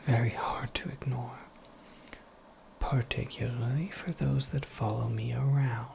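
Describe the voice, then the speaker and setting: A performer voicing an eccentric, absent-minded witch in a breathy, creaky ASMR roleplay, rambling with wry, cryptic politeness. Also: medium pitch, fairly even intonation, a little slow, a little mellow, slight background noise. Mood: musing, cryptic. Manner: rambling, whimsical, politely formal, self-correcting. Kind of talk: soft-spoken ASMR roleplay monologue.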